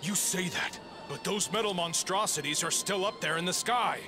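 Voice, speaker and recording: A worried, challenging townsman, speaks up in a video game cutscene. The voice is high and bright, over light music. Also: moderate pace. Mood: skeptical, worried. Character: worried, challenging male citizen in a crowd.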